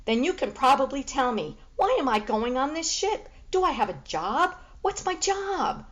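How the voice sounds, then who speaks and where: A woman's voice: high-pitched, very animated, moderate pace, highs cut off, voice pushed up. A woman voicing an eager, earnest young female pig with an inquisitive manner in a storybook reading. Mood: eager, curious.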